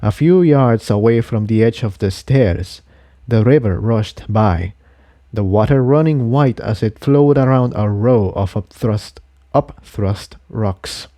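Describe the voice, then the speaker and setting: Guy reading a fantasy story, slow n measured, soft mellow tone, really dry sound. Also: fairly low pitch, no room sound. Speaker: audiobook reader of fan fiction. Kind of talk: scripted story narration.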